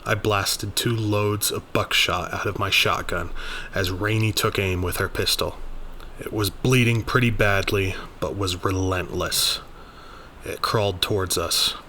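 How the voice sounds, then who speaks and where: A man's voice: sits low, fairly even intonation, unhurried, sharp tone, a little hiss or hum. A man reading a scripted first-person horror story as its narrator.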